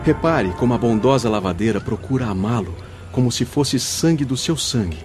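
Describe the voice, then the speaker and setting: An actor playing a grave, benevolent spirit guide, explaining solemnly in a radio soap with a Brazilian accent. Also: male voice, medium pitch, animated, moderate pace, music in the background, close to an everyday voice. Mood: grave, instructive. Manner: solemn, didactic. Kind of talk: radio soap opera acting.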